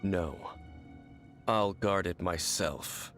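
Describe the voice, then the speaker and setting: Low-voiced, stern game wanderer. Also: male voice, a bit bright, heavily acted. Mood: guarded, firm. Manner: solemn, measured, formal. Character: stern, guarded male wanderer, resolute and distrustful. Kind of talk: video game voice acting. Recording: faint game music behind voice.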